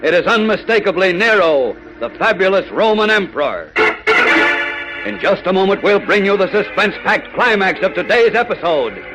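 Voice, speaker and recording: A man's voice: sits high, very animated, normal speed. An American man narrating a 1940s radio serial in a grandiose, suspenseful announcer's delivery. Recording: muffled old radio recording. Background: loud dramatic music.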